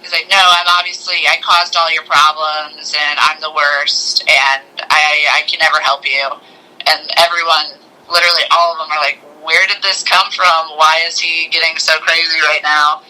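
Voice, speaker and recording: A man with a fairly high, very bright and forceful voice chats casually as a podcast co-host reviewing a film. The retelling is animated, slipping into quick mimicked lines, and the sound is a little thin, like radio or a phone. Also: poor audio. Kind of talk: casual movie review chat.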